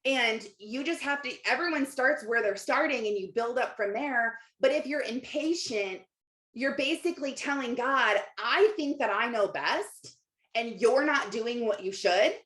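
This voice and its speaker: A woman's voice: on the high side, lively intonation, measured pace, a bit bright. Woman coaching casually in an echoey room. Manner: informal, conversational, emphatic with rhetorical questions and repetition. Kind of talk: casual motivational livestream talk to viewers, reading out comments. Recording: roomy, echoey sound.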